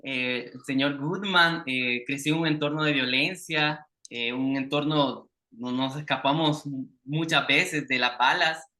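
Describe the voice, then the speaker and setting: A man's voice: mid-range voice, fairly even intonation, unhurried, hardly any pauses, bright, forceful tone. A man from El Salvador with a Salvadoran Spanish accent, giving a formal, earnest prepared presentation as an invited speaker over a video call.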